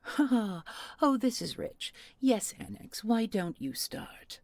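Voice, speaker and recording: A woman acting in a sci-fi audio drama as a weary, bitter, sarcastic agent, with a husky voice and a biting, defensive manner. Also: on the high side, expressive, slow, a little mellow, no echo, full character voice. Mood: bitterly amused. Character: weary, bitter, sarcastic female agent under emotional strain.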